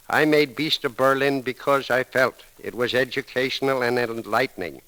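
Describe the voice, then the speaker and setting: An American man reads a scripted memorial tribute for 1930s radio. His delivery is formal and solemn, at a middling pitch. The old broadcast recording is dry, with very little room around the voice. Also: sharp tone, dry recording, rough recording. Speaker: radio eulogist.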